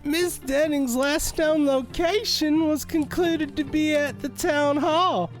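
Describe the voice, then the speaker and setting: A man's voice: very high voice, steady tone, faint music behind. An actor playing a newly promoted male detective, earnest and a little proud. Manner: earnest, slow, a bit proud. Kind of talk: audio drama acting.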